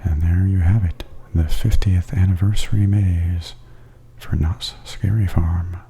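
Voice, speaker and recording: A man giving softly spoken ASMR tour commentary in a breathy half-whisper, calmly and gently describing things as a host. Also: low voice, slight background noise. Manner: calm, unhurried, gently descriptive.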